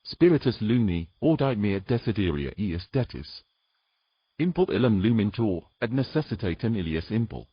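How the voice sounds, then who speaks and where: Man playing a husky, wary fantasy hero. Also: lowish voice, sing-song, normal speed, no room sound, highs cut off. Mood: incanting. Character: wary, stubborn educated man, reluctant ally of a mermaid. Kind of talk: dramatic audio fiction acting.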